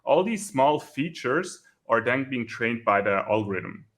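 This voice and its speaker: A man with a strong German accent, calmly explaining the technical side as a security researcher co-hosting a video talk. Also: mid-range voice, a little slow. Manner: methodical, explanatory.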